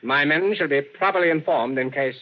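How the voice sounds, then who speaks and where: An actor playing a suave, menacing general who holds captives, speaking formally with smooth irony in an old-time radio drama. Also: male voice, fairly high pitch, fairly quick, lightly acted. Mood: coolly menacing. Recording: muffled vintage radio recording.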